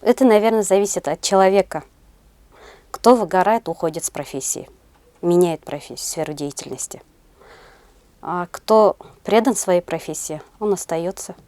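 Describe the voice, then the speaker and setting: Middle-aged woman, low voice, halting delivery. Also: warm tone, dry recording. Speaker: interview guest, senior kindergarten teacher. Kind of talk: interview answers.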